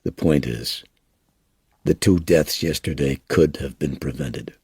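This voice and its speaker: A man voicing a weary, guilt-ridden small-town police chief with a gruff manner. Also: rumbling low, a little slow, darkish tone, no echo, pitched below the performer's own voice, heavily acted. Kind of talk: audiobook character reading.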